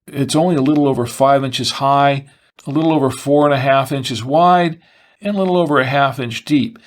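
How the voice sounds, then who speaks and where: Man presenting products in measured phrases. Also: mid-range voice. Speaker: presenter of a radio product review show. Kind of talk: semi-scripted product rundown, explanatory commentary.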